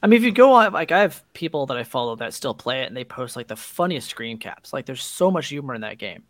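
A man's voice: mid-range voice, big pitch swings, quick pace, a bit bright, dry recording. A man chatting casually as a co-host on a podcast panel.

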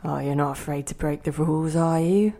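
Woman playing a cocky, low-voiced young suitor. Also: a little fast, mellow. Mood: coaxing, cheeky. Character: young man courting, cocky, persuasive, played by the memory guide. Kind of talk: audio play acting.

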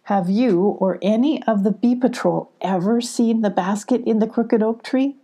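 Lady doing a motherly storybook owl, wise and reassuring, hooty exclamations, husky mellow voice. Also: on the high side, normal speed, pitched above the performer's own voice. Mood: calm, assured. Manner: hooting exclamations.

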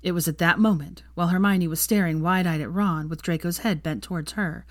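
A woman's voice: on the low side, steady tone, brisk, no echo, clean studio sound. A woman reading fiction aloud as an audiobook narrator, in scripted storytelling style.

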